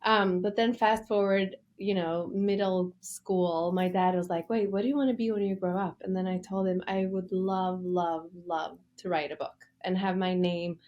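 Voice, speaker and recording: A woman's voice: lowish voice, fairly even intonation, moderate pace, hardly any pauses, a little mellow, lo-fi sound. A woman from Norway, an author interviewed as a guest, telling her story with enthusiasm and loose fillers.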